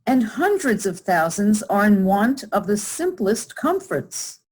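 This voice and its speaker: An earnest charity lady in a radio play, performed by a woman. She is courteous and deferential, with a husky edge and lively rises and falls in her voice. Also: medium pitch, slow pace, heavily acted. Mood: earnest, polite.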